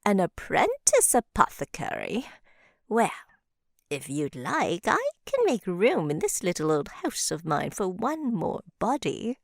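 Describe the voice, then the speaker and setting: Old forest witch, female actor, sly and motherly, wildly swooping intonation, unhurried, visual novel. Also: medium pitch, huge pitch swings, gravelly, no room sound, clean studio sound, exaggerated voice. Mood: imperious, theatrical. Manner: theatrical, teasing, drawn-out endearments.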